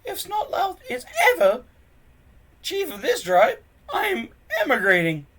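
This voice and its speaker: A reader voicing a wry, kindly boy sidekick in a children's storybook, in a high, exclaiming voice. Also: huge pitch swings, unhurried, a bit bright, heavily acted. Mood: indignant.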